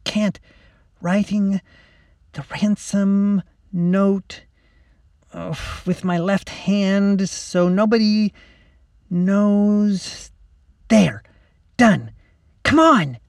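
A narrator voicing a bright, bossy, scheming schoolgirl in an audiobook, excitable and urgent. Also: medium pitch, normal speed, dry recording, clean studio sound, voice pushed up.

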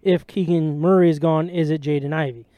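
A man hosting a casual sports podcast, chatty and jokey, with a voice he calls high. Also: fairly even intonation, a little fast, no echo, muffled recording. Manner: chatty, jokey, self-deprecating. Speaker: podcast host.

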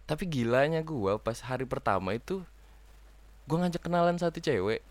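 A man's voice: medium pitch, expressive, moderate pace, no echo. A young man from Kalimantan, Indonesia, chatting casually as a podcast guest, slangy and swearing, often breaking into laughter. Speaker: guest co-host.

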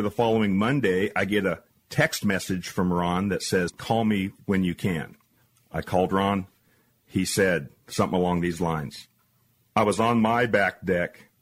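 A man hosting a podcast interview, speaking in measured, deliberate phrases. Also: fairly low pitch. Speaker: podcast host.

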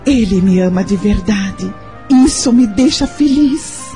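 A woman's voice: medium pitch, lively intonation, unhurried, warm tone, faint music behind, close to an everyday voice. A woman acting in a radio soap opera as a hard-working, anxious mother, speaking with hesitant emotion. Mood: tender.

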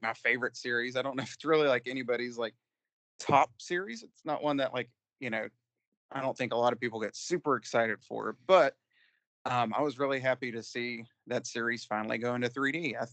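A man's voice: medium pitch, brisk, fairly bright tone, dry recording. A man co-hosting a casual podcast chat, speaking hesitantly with frequent restarts and fillers. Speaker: podcast co-host.

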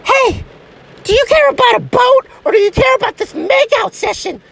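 A performer voicing a gushy, melodramatic, lovesick woman with a fickle temper, in a breathy, theatrical comedy parody of romance. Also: extremely high pitch, normal speed, a bit bright, heavily acted, rough recording. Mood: amorous, insistent.

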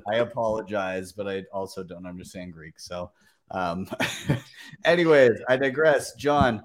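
A male podcast host with a lowish voice, easygoing and quick to joke. He talks loosely and casually, throwing in the odd swear word as he hands the floor to guests. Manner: laid-back, joking, swears casually. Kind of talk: casual live-stream chat.

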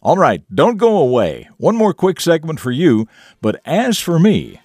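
A man presenting a scripted morning show for young listeners, with emphatic, deliberate phrasing and folksy asides. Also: on the high side, huge pitch swings, darkish tone, no echo, clean studio sound. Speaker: radio show and podcast host.

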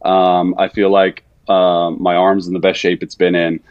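A man in his thirties speaks in a lowish, easygoing voice, filling his answers with casual fillers and restarts. The sound is dry and close, with no room around it. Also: measured pace, no echo, dull audio. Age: 30s. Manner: relaxed, conversational, frequent fillers and restarts. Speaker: guest, NFL backup quarterback. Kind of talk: casual podcast interview.

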